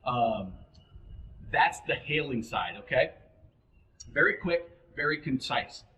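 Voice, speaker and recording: A man's voice: medium pitch, lively intonation, takes it slowly, sharp tone, reverberant. A man giving informal, practical boating instruction, speaking plainly and directly to the listener as an instructor.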